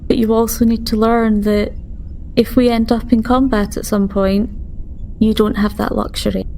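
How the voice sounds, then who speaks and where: A woman playing a stern but caring starship medic in a tabletop game, measured and admonishing. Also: fairly high pitch, level tone, gravelly, warm tone, a little hiss or hum.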